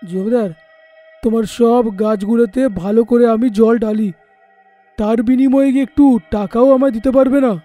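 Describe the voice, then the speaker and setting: A man playing an insolent, quarrelsome drunkard village gardener in an animated horror story, rude and defiant. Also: extremely high pitch, moderate pace, soft background music. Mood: demanding. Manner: rude, defiant, whining for money.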